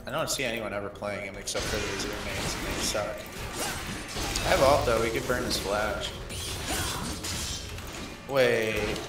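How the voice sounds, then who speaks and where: A man's voice: fairly low pitch, measured pace, long pauses. A man chatting casually and swearing as a teammate during an online game. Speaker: gaming teammate. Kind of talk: casual gaming chat. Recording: echoey voice chat, loud music. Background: loud music, game.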